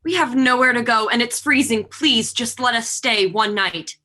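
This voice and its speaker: A woman's voice: a little high, normal speed, bright, forceful tone. A woman playing a desperate village refugee pleading for help in a stage play reading.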